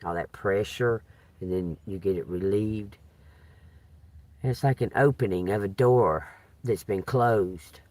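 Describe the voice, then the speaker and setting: A man hosting a live stream, chatting loudly and laughing often while telling profane stories. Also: fairly low pitch, unhurried, pauses often, no room sound. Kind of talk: rambling casual live stream chat.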